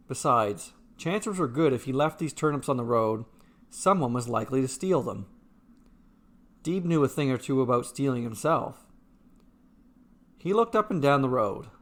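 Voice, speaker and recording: Guy reading out a fantasy short story, normal mid pitch, narrator style. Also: fairly bright tone.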